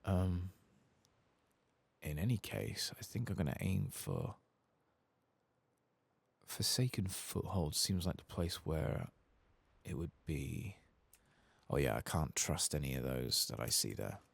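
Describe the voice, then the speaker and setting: A soft-spoken man giving rambling ASMR commentary as he plays a game, sometimes dropping into a whisper. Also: very deep voice, big pitch swings, slow, gravelly, long pauses, husky, dry recording. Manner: rambling, musing to himself; often drops into whispers. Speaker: host of a gaming playthrough channel.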